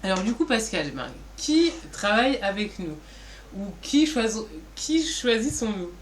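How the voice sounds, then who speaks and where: A woman's voice: fairly high pitch, sing-song, measured pace, a little hiss or hum. A woman co-presenting in an informal, didactic way, posing questions and then answering them. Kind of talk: informal explanatory business presentation.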